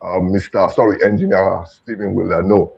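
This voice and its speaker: A man with a low, husky, gravelly voice telling a relaxed story on a church panel. He pauses often with fillers. The sound is dull and muffled. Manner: relaxed anecdotal, frequent fillers. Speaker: panel speaker.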